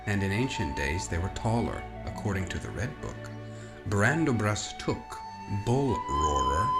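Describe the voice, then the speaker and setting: Male narrator, low register, expressive storybook reading, roomy echo with music underneath. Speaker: audiobook narrator. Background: orchestral music.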